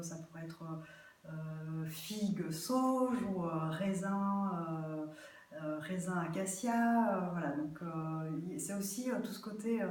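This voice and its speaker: A woman from Belgium giving spontaneous interview answers as a guest, thinking aloud with hesitant pauses. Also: on the low side, darkish tone. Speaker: interview guest, aspiring herb grower. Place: echoey festival venue. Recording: very echoey room, distant microphone.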